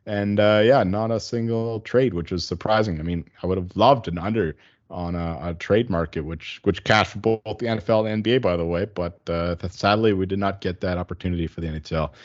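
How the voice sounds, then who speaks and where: A man's voice: low-pitched, brisk. A man co-hosting a sports podcast, laid-back and chuckling as he chats casually. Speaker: podcast co-host. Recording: slightly dull lo-fi audio.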